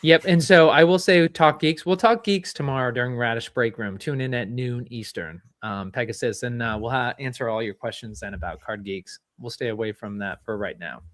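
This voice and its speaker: A man hosting a casual chat, asking long rambling questions and giving shout-outs. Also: mid-range voice, moderate pace, hardly any pauses, no echo, clean studio sound. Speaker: show host.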